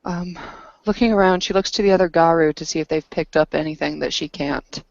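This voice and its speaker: A woman playing a tabletop roleplaying game, narrating her character in a hesitant, halting way. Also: low-pitched, vocal fry, no room sound, dull audio.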